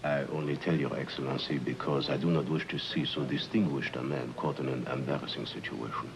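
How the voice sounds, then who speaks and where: An actor playing a grave, deferential informant with a gravelly voice and formal, halting speech in an old-time mystery drama. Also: male voice, rumbling low, fairly even intonation, a crowd in the background, low-quality recording. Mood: grave, regretful.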